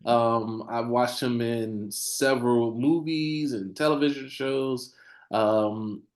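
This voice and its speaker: Male dentist guest, medium voice, measured and thoughtful. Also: medium pitch, fairly even intonation. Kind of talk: casual panel chat.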